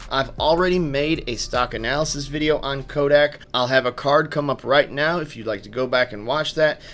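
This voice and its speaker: A man's voice: fairly high pitch, a bit bright. A man who is slightly losing his voice, giving casual, emphatic stock market commentary as a channel host. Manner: chatty, emphatic, repeats words for stress.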